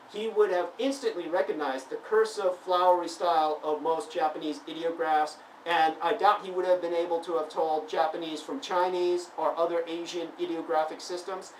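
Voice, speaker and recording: A man's voice: a little high, steady tone, moderate pace. Man born in Taiwan, lecturing style, heated rebuttal, picked up from a distance in a reverberant convention room, faint hiss. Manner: lecturing, grows heated and emphatic. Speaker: interviewee. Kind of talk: monologue answering online comments. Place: convention venue. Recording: roomy, distant mic, faint background noise.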